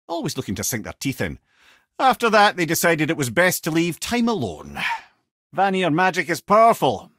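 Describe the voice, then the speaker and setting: An actor playing a witty, learned old Scottish companion in a video game, telling lore with an eloquent, lilting, theatrical delivery and a husky edge. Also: male voice, a little high, a bit bright, no echo, studio quality, heavily acted. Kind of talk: video game character dialogue and lore storytelling.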